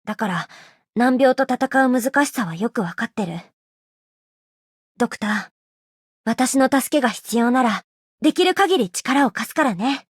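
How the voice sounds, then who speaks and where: A woman's voice: on the high side, animated, brisk, pauses often, husky, no echo, clean studio sound. A woman voicing a kind, studious young healer mage in a scripted game line. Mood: earnest, supportive.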